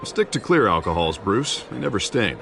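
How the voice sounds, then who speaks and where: Animated, lowish-voiced earnest politician. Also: male voice, moderate pace, creaky, soft background music, exaggerated voice. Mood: smooth. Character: earnest, persuasive adult male politician. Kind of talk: video game voice acting.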